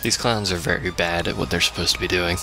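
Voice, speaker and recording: A man's voice: low voice, fairly even intonation, fast. A man doing casual let's play commentary, full of wry, sarcastic asides. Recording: game music behind the voice.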